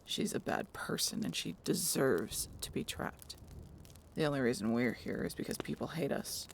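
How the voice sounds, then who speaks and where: A woman's voice: a bass voice, expressive, normal speed, vocal fry, slight background noise, heavily acted. A woman playing a grumpy, cynical, guarded female harpy in an audio roleplay, talking in a curt, sardonic, grudging way. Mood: bitter.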